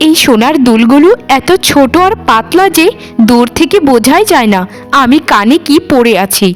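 A woman's voice: sits high, animated, normal speed. A woman voicing a greedy, nagging village wife in a cartoon, scolding and melodramatic. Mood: demanding, scolding. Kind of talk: Bengali cartoon voice acting. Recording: music behind the voice. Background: music.

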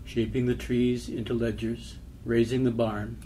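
A man's voice: mid-range voice, flat delivery, measured pace, warm tone, slight background noise, poor audio. A man giving a scripted reading of documentary poetry and old records.

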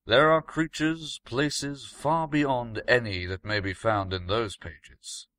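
A man's voice: mid-range voice, fairly even intonation, slow pace, bright, forceful tone, dry recording. A man voicing a learned, mysterious countryman in a story, grave, knowing and emphatic.

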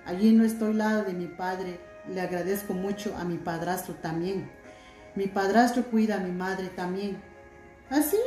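A middle-aged woman vlogging at home by a window, chatting warmly and reading out viewer comments, recorded on a phone on the table. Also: mid-range voice, roomy sound. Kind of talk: casual chat to viewers.

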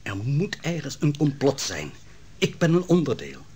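A man's voice: medium pitch, huge pitch swings, moderate pace, darkish tone, slight background noise. An actor playing a worried, brooding man reporting on the trial in an old radio drama.

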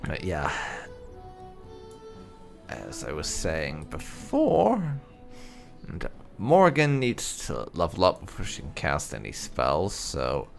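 A man's voice: a bass voice, wildly swooping pitch, takes it slowly, gravelly, pauses often. A man giving casual commentary as host of a game playthrough. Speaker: gaming video host. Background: faint game music.

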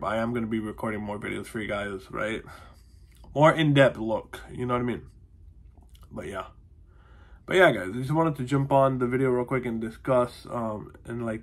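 Twenties guy with a cold, stuffy-nosed and chatty, recorded on a close phone mic at home. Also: medium pitch. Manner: rambling, heavy on fillers and "honestly". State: congested, nasal from a cold. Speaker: vlogger. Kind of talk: casual vlog update. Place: small room at home, indoors. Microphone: phone or webcam close to the face.

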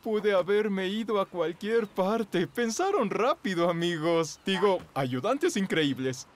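High-pitched, exuberant showman motorbike. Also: animated, slow. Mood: relieved. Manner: theatrical, announcer-like. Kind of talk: children's cartoon voice acting.

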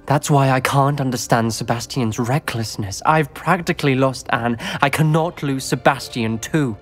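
An anxious, principled British schoolboy wizard, acted by a young man for a video game. He speaks gravely and precisely, with reproach in his delivery. His voice is mid-pitched, over faint music. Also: moderate pace, lightly acted. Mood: grave, worried. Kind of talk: video game cutscene acting.

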